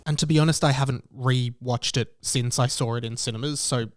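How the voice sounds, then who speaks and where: A man's voice: medium pitch, fairly even intonation, fairly quick, no echo. A thirty-year-old man giving casual opinion commentary as a movie fan.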